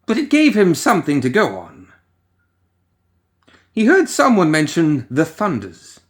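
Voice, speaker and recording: A man reading a story as a dramatic narrator, musing theatrically with deliberate pauses and rhetorical asides. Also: a little high, huge pitch swings. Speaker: audio fiction reader.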